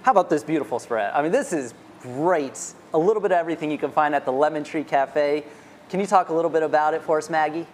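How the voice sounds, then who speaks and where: A man's voice: on the high side, quick pace, a little mellow. Upbeat young American male TV host. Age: young adult. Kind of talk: upbeat broadcast interview. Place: busy small cafe, indoors. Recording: faint cafe background noise.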